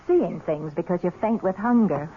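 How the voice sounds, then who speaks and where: An actress playing a bossy, teasing young sweetheart in a 1970s radio drama. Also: female voice, fairly low pitch, expressive, moderate pace, lightly acted. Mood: teasing, practical. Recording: tinny, noisy old radio recording.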